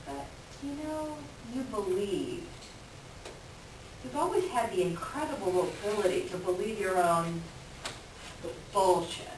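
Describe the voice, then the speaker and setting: Woman acting a scornful, slow-spoken ex-wife, echoey. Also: mid-range voice, natural voice. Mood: sardonic. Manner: sardonic, biting. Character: scornful, hard-nosed ex-wife demanding a cut. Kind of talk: staged script reading. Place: echoey room, far from the mic. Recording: distant echoey room with hiss.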